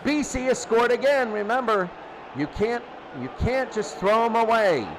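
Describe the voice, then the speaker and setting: Male football commentator, high register, excitable and conversational, noisy recording. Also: moderate pace. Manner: excitable, conversational asides between plays. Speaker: play-by-play commentator of a simulated football tournament. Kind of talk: live American football radio-style commentary.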